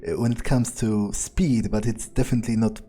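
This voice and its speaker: A man role-playing a ruthless, casually murderous vampire thinking aloud in improvised game commentary, musing, hesitant and foul-mouthed, with dark amusement. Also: on the low side, steady tone, fairly quick, lightly acted. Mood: musing, darkly amused. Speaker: gaming streamer role-playing his character. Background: game music.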